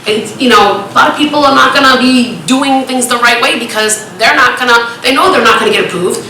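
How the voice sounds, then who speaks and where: A woman speaking up at a town planning board hearing, asking pointed questions in a loud, rambling way. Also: fairly high pitch, a little fast. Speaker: resident speaking at public hearing. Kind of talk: public comment at a town meeting. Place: town hall meeting room. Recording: echoey meeting room with light noise.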